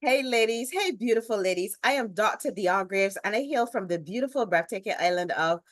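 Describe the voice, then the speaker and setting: A woman from Barbados in her thirties with a Barbadian accent, a guest telling her story in an interview with expressive emphasis. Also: medium pitch, brisk, no echo, studio quality. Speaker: guest, business lifestyle strategist. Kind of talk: interview, personal introduction.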